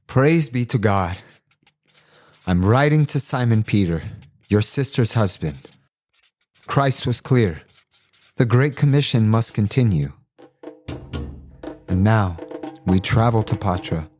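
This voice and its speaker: A man's voice: on the low side, lively intonation, takes it slowly, pauses often, darkish tone. A man playing a devout, humble apostle and healer in an audio drama, solemn and fervent. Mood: resolute. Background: music.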